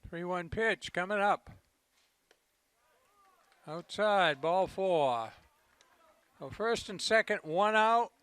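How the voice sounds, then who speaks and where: A man calling a local baseball game as play-by-play commentator, relaxed and conversational, with a voice that is starting to go. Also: slow, long pauses, clear, resonant tone, no room sound. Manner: low-key, conversational calls. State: losing his voice.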